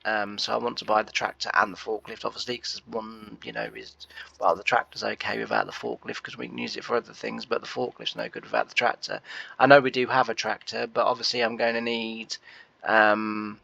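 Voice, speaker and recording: A man's voice: lowish voice, expressive, fairly quick, vocal fry, pushed and bright, lo-fi sound. A man, nasal from a cold, rambling through casual gameplay commentary with many fillers. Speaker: gameplay host.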